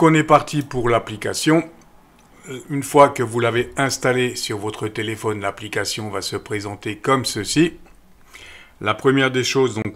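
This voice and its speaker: A middle-aged man calmly walking viewers through an app step by step, with frequent fillers. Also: mid-range voice. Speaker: radio equipment channel host. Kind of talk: screen-recorded app tutorial voice-over.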